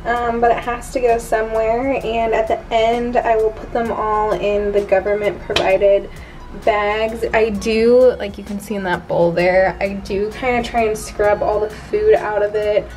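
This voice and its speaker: A woman's voice: medium pitch, level tone, a little slow, darkish tone. A young woman in her twenties chatting casually to camera from a small apartment room, laughing often as she rambles through her day. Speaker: vlogger. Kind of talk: casual vlog chat. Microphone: camera on a desk, later handheld camera. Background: background music.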